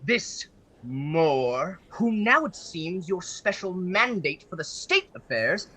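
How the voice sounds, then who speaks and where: A bright, forceful but husky voice from an actor portrays an outraged old father in a Shakespearean radio play. The delivery is slow and halting, swinging widely in pitch with grief and indignation. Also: very animated, full character voice, low-quality recording. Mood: accusing. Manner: emphatic, halting, aggrieved declamation. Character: outraged, grieving elderly father, a Venetian senator. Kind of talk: Shakespearean verse acting for radio.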